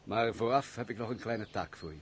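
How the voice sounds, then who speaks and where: A man's voice: on the low side, a little fast, slight background noise, close to an everyday voice. A man acting an embittered, brooding nobleman in a Dutch radio play, with formal, declamatory old-fashioned diction. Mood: curt, commanding. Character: brooding, embittered nobleman, weary of society, curt master.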